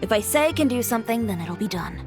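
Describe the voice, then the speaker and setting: A woman's voice: fairly high pitch, expressive, fast talker, lightly acted. An actress playing a confident, blunt young female boutique owner in a video game, with a cool, curt, self-assured manner. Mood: firm, assured. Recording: music behind.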